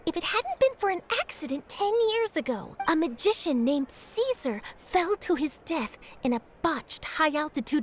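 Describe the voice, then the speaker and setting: Casting: keen young female reporter, video game. Very high pitch, animated swings, theatrical urgency. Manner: excitable, theatrical. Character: eager, dramatic young female news reporter hungry for scoops. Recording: music behind the voice.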